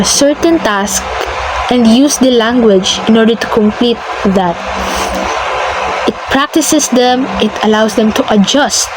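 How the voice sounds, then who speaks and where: A woman whose first language is Filipino presents a lesson at a slow, deliberate pace. She often stops to repeat and correct herself, and her voice sits a little high. Steady traffic noise runs under the whole recording. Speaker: student presenter. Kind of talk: classroom slide presentation. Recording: noisy with traffic behind.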